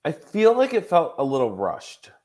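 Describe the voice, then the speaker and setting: A man's voice: a little high, wildly swooping pitch, normal speed, a little mellow. A man in his thirties hosting a podcast, chatting casually and chattily with plenty of filler words. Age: 30s. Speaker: podcast host. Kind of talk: casual chat.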